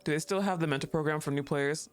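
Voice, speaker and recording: A male streamer chatting casually to his viewers, excitable, laughing often and swearing freely. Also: a little high, fast talker, clear, resonant tone. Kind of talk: casual livestream chat. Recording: faint music behind the voice.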